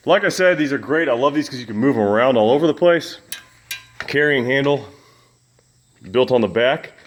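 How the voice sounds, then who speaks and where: A man with a loud voice, casually reviewing a fan in his home gym, rambling with lots of fillers and restarts. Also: mid-range voice. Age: adult with children. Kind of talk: casual product review vlog.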